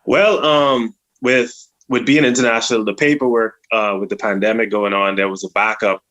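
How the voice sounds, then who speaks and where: A young man from the Bahamas answers interview questions over a video call. His voice is very deep and sits almost flat, and he speaks calmly, pausing often with ums. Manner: calm, hesitant, many ums. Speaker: student team member.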